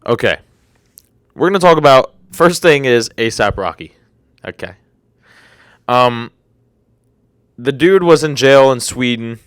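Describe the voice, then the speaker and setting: A man's voice: medium pitch, animated, takes it slowly, pauses often, a bit bright, smooth, dry recording, studio quality. A young man in his twenties hosting a solo podcast, rambling and incredulous, with a slightly congested throat. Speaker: podcast host. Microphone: desk microphone.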